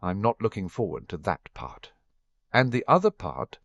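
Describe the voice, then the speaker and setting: A man voicing a warm, worried adult man, a protective godfather, in an audiobook reading; he sounds earnest and sighs often. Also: on the low side, huge pitch swings, moderate pace, vocal fry, dry recording, full character voice. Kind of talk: storybook dialogue reading. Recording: dull, dry home recording.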